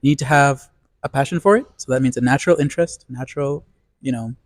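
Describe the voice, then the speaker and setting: A man's voice: mid-range voice, fast talker, no echo. Ecuadorian guy explaining his points one by one, mid voice, dry recording. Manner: structured, explanatory. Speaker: guest. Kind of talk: casual panel discussion.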